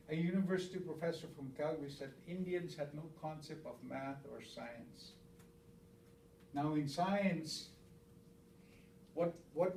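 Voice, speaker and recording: Halting Stoney-speaking man teaching, roomy and distant. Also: a little high, slight background noise. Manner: repeats words, counts aloud, halting restarts. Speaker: elder teacher. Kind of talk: informal spoken teaching.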